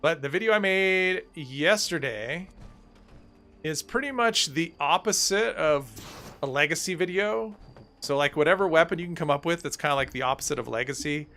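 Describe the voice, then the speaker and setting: A man streaming a game live, chatting in a rambling, self-deprecating way with lots of fillers, his voice a little hoarse. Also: a little high, normal speed, pushed and bright, soft background music. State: slightly hoarse. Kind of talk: casual live-stream chat while gaming.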